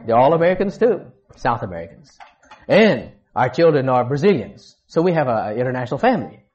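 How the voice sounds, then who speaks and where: A man from Texas preaching a sermon in a church as a missionary, halting and restarting as he searches for words. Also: medium pitch, big pitch swings, darkish tone, lo-fi sound.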